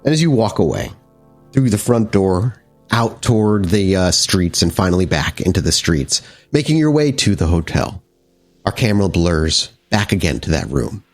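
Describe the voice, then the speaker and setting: A man narrating an improvised tabletop game as game master, descriptive and somewhat halting. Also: low voice, very animated, faint music behind.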